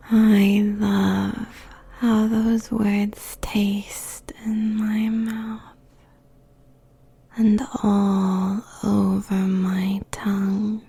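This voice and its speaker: A woman's voice: mid-range voice, vocal fry, dark tone, breathy edge, exaggerated voice. A woman playing a seductive, possessive succubus demon queen in an intimate ASMR roleplay, whispering breathily and savoring each phrase. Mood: sultry, teasing. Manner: slow, drawn-out, sultry, savoring phrases.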